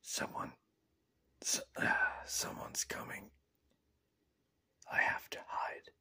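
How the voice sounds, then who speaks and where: A man playing a frightened, weakened captive reporter in a whispered ASMR horror drama, halting and melodramatic, with a breathy, raspy voice. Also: sharp tone. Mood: fearful, urgent. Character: frightened, captured male reporter, weakened and in pain.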